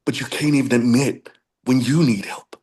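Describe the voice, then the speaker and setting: A man acts a worn-out, wounded husband in a marital drama table read. He speaks in a medium-pitched male voice. He presses his wife with hurt and confrontation. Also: steady tone, moderate pace, darkish tone, husky, natural voice. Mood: confrontational.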